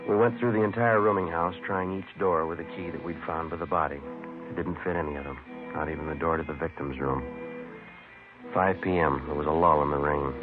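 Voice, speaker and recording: A very deep-voiced, calm police sergeant from a 1950s radio drama. He speaks in clipped, matter-of-fact phrases. The sound is narrow and tinny, like an old broadcast, with music clearly underneath. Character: calm, businesslike male police sergeant who narrates the case.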